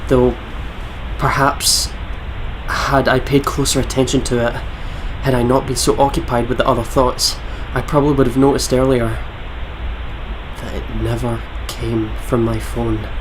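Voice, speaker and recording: A man's voice: mid-range voice, flat delivery, moderate pace, background noise. A man with a Scottish accent reading visual novel narration aloud as a let's player, with casual reactions.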